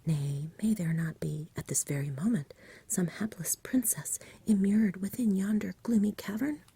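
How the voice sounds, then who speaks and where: A grave, good-natured saintly knight, whispered by a woman, speaking in formal storybook phrases. The voice is soft, very husky and mellow. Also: medium pitch, moderate pace, voice pushed up. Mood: sad, suspicious. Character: courteous, melancholy saintly knight with grandiose speech. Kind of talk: whispered ASMR storybook reading.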